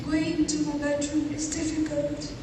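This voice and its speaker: In a big echoing space with clear noise, a woman plays a distraught young female victim. Her voice is very high and carries from a distance, in the style of a stage reading. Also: monotone, measured pace. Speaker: student teacher performing in a readers' theatre. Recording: very echoey hall, distant mic, noisy.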